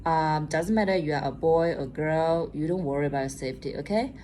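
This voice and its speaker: A woman's voice: low-pitched, fairly quick, warm tone, slight background noise. A young Chinese woman with a Chinese accent, chatting casually to camera as a vlogger and often tagging on "okay?". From China. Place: room at home at night.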